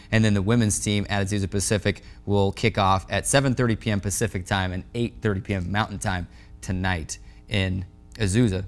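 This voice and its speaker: A man's voice: on the low side, a bit bright. An American man in his twenties hosting a sports show, presenting in a sportscast style. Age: 20s. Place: indoor TV studio sets. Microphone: lapel mic.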